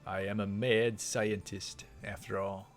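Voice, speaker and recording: A man's voice: on the low side, level tone, unhurried, faint music behind. A man voicing a paranoid, grandiose mad scientist from a visual novel, in a dramatic, suspicious manner. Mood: boastful. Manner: dramatic, suspicious inner monologue.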